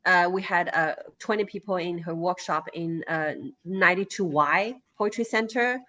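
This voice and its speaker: A woman with a Chinese accent, a native Chinese speaker, answering interview questions as a guest poet in a halting way, with many fillers and restarts. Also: fairly low pitch, bright, forceful tone.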